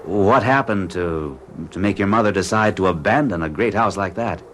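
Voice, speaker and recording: A man's voice: low voice, moderate pace, a bit bright, slight background noise. An actor playing a bewitched middle-aged bachelor in an old-time radio drama, speaking huskily with intense, hesitant confessional delivery. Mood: curious. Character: forty-one-year-old orderly bachelor, bewitched and bewildered.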